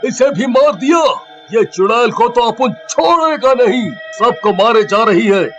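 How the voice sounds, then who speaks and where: A man's voice: extremely high pitch, expressive, measured pace, fairly bright tone, faint music behind, exaggerated voice. A man voicing a hot-headed, vengeful young man in a horror cartoon, agitated and slangy. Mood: angry, vengeful.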